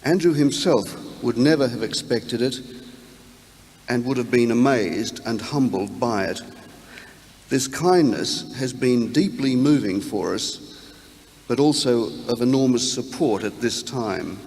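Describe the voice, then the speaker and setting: A man reading out a formal statement in a solemn, measured way. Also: medium pitch, darkish tone. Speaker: speaker reading a family statement. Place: large town hall. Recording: reverberant hall, background noise, distant sound.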